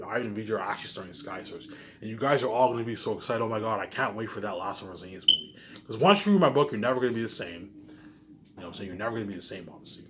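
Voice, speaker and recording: A man's voice: mid-range voice, a bit bright. Boastful, repetitive man rambling over background noise. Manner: repetitive, with emphatic catchphrases; boastful, self-assured. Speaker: vlogger. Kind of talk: rambling casual monologue to viewers.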